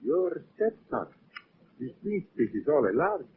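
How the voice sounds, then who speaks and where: A muffled, faintly hissy old radio recording. a scheming doctor with a mid-pitched, very animated voice, formal and insinuating in a theatrical vintage style. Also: male voice, slow. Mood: sly, insinuating. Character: scheming male doctor, villainous accomplice. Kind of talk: 1940s radio acting.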